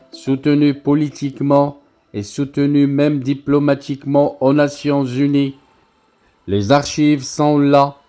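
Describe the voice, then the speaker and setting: A man delivers scripted political news commentary as a narrator, with deliberate, emphatic phrasing. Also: medium pitch, mellow.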